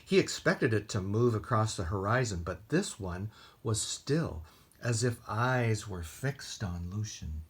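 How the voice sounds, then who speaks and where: A man with an American accent reading aloud at a children's storytime, expressive and deliberate, with casual asides in simple words. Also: lowish voice, husky. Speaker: library storytime reader.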